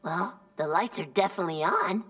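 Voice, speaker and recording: A man's voice: high voice, animated, brisk, fairly bright tone. An actor playing a laid-back, goofy teenage boy superhero in a video game, with slangy, slack delivery. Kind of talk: video game cutscene acting. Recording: distant game audio under music.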